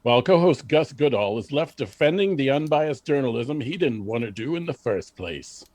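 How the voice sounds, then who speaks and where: A man's voice: medium pitch, animated, normal speed, a little mellow, dry recording. Male radio drama announcer, close and dry. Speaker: narrator and announcer. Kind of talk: scripted radio drama narration.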